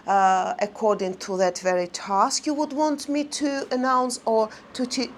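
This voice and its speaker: A woman vlogger chatting casually to her viewers in non-native English, rambling and halting with many fillers. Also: on the high side.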